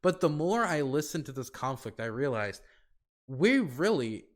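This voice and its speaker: A man's voice: medium pitch, big pitch swings, unhurried. An American man hosting a podcast, talking casually and rambling with lots of fillers and restarts. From United States. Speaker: podcast host.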